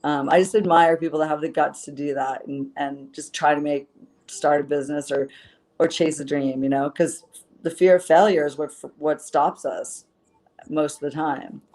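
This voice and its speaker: A woman's voice: low voice, steady tone, moderate pace, darkish tone. A woman author being interviewed, earnest and rambling, with plenty of fillers as she talks. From based in Los Angeles. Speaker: author guest. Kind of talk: interview answers.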